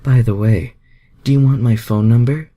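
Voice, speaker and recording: A man's voice: mid-range voice, steady tone, moderate pace, mellow, pitched above the performer's own voice, close to an everyday voice. A man voicing a bold, flirty woman from superhero fan fiction, with a sultry, pushy manner.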